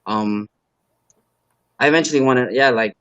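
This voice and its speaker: Male guest from LA, native Khmer speaker, mid-pitched, loose and rambling, dry close sound. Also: a bit bright, smooth, no room sound, lo-fi sound. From Los Angeles, USA. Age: adult parent. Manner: halting, filler-heavy, laid-back. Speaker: guest, car builder and YouTuber. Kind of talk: casual interview chat.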